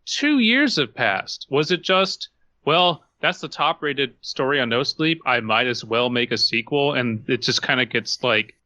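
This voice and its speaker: A man's voice: medium pitch, animated, unhurried, sharp tone, no room sound. A man co-hosting a podcast, giving casual critical commentary and acting out mock quotes to make his points. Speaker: podcast co-host.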